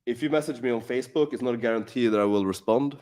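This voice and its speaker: A man's voice: medium pitch, fast talker, darkish tone. A man hosting a live question-and-answer stream, talking casually with lots of swearing and filler words. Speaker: live Q&A host. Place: in Warsaw.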